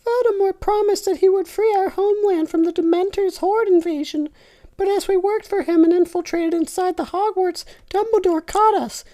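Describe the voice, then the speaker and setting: A woman voicing a small male alien creature who shouts and pleads in a high, squeaky voice during a fan story reading. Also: normal speed. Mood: pleading.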